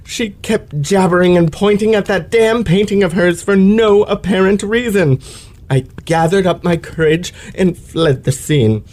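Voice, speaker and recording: An actor as a pompous, aggrieved gentleman lecturer. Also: high-pitched, a little slow. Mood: indignant. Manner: florid, theatrical, precise elocution. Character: pompous, aggrieved male lecturer, florid and self-pitying. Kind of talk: old-fashioned radio play acting.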